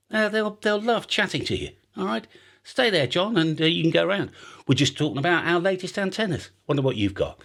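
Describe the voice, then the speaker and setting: A British man hosting a casual explainer in a chatty, animated way, slipping into acted-out example exchanges. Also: fairly high pitch. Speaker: ham radio video host.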